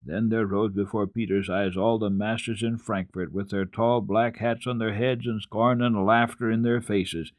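An older man giving a deliberate, expressive, clearly enunciated dramatic reading of a children's storybook. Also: on the low side, no echo. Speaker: storyteller, radio program host.

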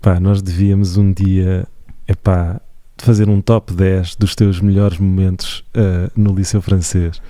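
A young man with a European Portuguese accent chats casually as a podcast co-host. His low, mellow voice sits on a dry, close microphone, and he laughs easily and restarts his sentences. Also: flat delivery, a little slow, no room sound. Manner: laughs often, hesitant restarts.

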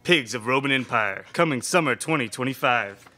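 A young man playing a portentous movie-trailer announcer with a grandiose, drawn-out delivery. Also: mid-range voice, expressive, sharp tone. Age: young adult. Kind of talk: parody trailer narration. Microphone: microphone on stand.

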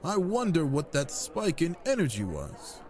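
Man acting flirtatious wine god, genial, smooth-talking, expressive swooping delivery, intimate audio drama. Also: fairly high pitch, unhurried. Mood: flirtatious, tender.

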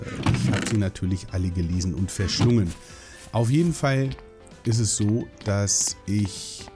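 A young man with a cold, chatting casually and rambling as he hosts a Minecraft let's play, swearing now and then. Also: fairly low pitch. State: hoarse from a cold. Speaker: gaming channel host.

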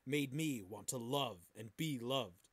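A man's voice: mid-range voice, slow pace, husky. A man co-hosting a podcast, bantering casually and reading aloud. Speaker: podcast host.

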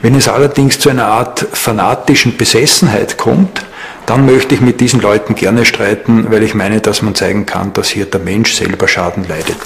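A man giving considered interview commentary in measured, formal, academic phrasing. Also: lowish voice, fairly even intonation, vocal fry, a bit bright. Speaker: expert interviewee.

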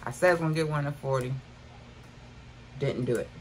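A woman's voice: very deep voice, a little fast, darkish tone, a little hiss or hum. A woman in her fifties chatting casually to viewers from her bedroom about items she bought, calling them y'all. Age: 50s. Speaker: haul vlogger. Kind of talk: casual haul chat. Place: bedroom at home.

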